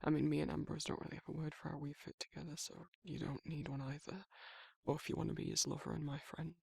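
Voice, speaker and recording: A man's voice: on the high side, level tone, fast talker, dry recording, full character voice. A man playing a protective, awkward werewolf in an intimate ASMR roleplay, speaking breathily and hesitantly, often near a whisper. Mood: awkward, sincere. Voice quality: husky, breathy, gravelly, often dropping to a whisper. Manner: hesitant, rambling, bashful, informal. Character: protective, awkward, soft-hearted male werewolf confiding in a friend.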